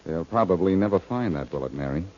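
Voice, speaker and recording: A cocksure, wisecracking sleuth of 1940s radio. His voice is low and mellow. It comes through a muffled, noisy vintage recording. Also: animated, fast talker. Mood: serious, confiding. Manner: wisecracking, self-assured. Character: wry, confident amateur sleuth, adult man.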